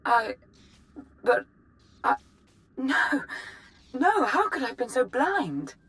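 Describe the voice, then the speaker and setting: An actress playing a stern, calculating Sith minister in a video game, speaking with clipped authority. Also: female voice, high voice, very animated, slow pace, fairly bright tone, a little hiss or hum, heavily acted. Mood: shocked, angry. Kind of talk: video game cinematic acting.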